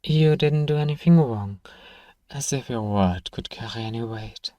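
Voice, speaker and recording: A gruff apothecary from a fantasy ASMR roleplay, acted by a man, speaks at a medium pitch with a dark, mellow tone. He is sardonic and grudgingly kind, full of sighs and dry teasing aimed at a single listener. The sound is intimate and close, with hardly any room on it. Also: unhurried, dry recording, muffled recording, lightly acted. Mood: suspicious. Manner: dry teasing, sighing, murmuring to one listener.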